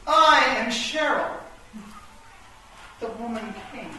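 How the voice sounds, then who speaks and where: A woman's voice: fairly high pitch, slow, sharp tone. A woman playing a grand, bossy Woman King chess piece, lofty and declamatory, in a comic radio play. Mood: commanding. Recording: echoey room, distant mic, faint noise.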